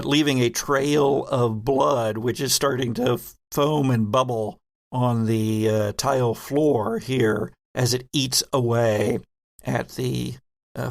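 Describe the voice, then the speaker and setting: A man's voice: medium pitch, no echo, studio quality. A man narrating a horror sci-fi tabletop game as game master, with wry, vivid description.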